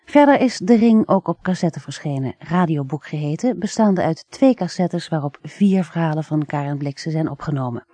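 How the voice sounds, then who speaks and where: A woman's voice: deep voice, animated, moderate pace, hardly any pauses, dark tone, no echo. A woman radio announcer delivering a scripted announcement.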